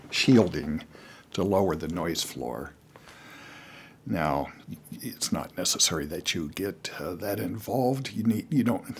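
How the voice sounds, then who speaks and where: Older male hobbyist, deep and slow, explaining things casually and haltingly. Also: background noise. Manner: halting, rambling, with frequent pauses. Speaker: amateur radio hobbyist giving advice to beginners. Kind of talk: casual unscripted how-to talk.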